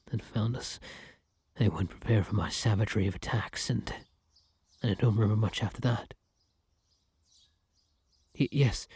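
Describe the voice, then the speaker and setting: A man's voice: lowish voice, dark tone, no room sound, studio quality. A man playing a hungry vampire in an ASMR roleplay, theatrical and intimate, predatory yet devoted to his beloved. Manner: theatrical, drawn-out endearments, breathy whispers. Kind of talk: dramatic ASMR roleplay acting.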